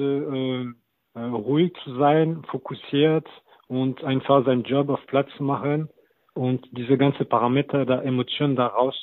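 A man in his thirties, a non-native German speaker, talking as a football coach guest with halting, hesitant phrasing. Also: mid-range voice, no echo. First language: not German. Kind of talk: casual interview talk. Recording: tinny phone-like line, very dry.

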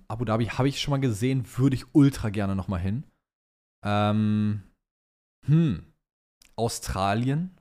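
A man's voice: fairly low pitch, a little mellow. A young man, co-hosting a podcast in casual banter with slangy phrasing. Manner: slangy, mixes English phrases into German. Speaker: podcast co-host. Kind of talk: casual banter, answering listener questions.

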